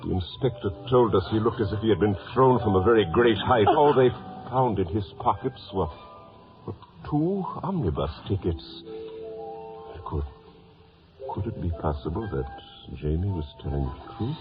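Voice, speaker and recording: Actor as sombre family man, deep voice, hesitant, grave, bewildered, 1950s radio acting, muffled recording, music. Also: animated, moderate pace, lightly acted. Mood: shocked, sombre.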